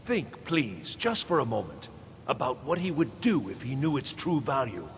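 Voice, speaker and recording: An actor playing an anxious, obsessive and self-important mage scholar in a fantasy video game, with a fretful, halting, pleading manner. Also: male voice, big pitch swings. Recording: long reverb with clear low rumbling noise.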